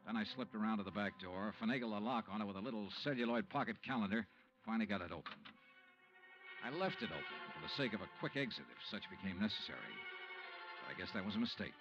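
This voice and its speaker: An actor playing a cool, wry, hardboiled investigator in an old-time radio detective drama. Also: male voice, lowish voice, animated, fast talker. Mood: brooding narration. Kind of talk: old-time radio detective acting and first-person narration. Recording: muffled old radio recording with music.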